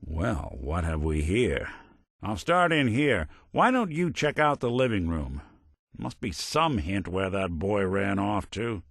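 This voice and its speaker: A man's voice: fairly low pitch, very animated, slow, gravelly, fairly bright tone, dry recording, full character voice. A man voicing a world-weary robot detective in a video game, with a dry, sardonic, folksy noir delivery. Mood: curious, musing.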